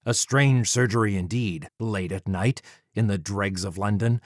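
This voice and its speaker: A man voicing a resolute demigod hunter of murderers in an audiobook, measured and accusing, with a dry, deadpan edge. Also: on the low side, no room sound, natural voice.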